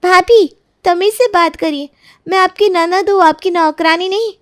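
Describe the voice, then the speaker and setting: A young sister-in-law in a cartoon family drama, voiced by a woman. Her voice is extremely high and girlish, with a defensive, indignant edge as she pleads her side. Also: unhurried, no room sound, clean studio sound. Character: defiant young sister-in-law, secretly in love. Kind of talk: Hindi animated moral-story dramatization.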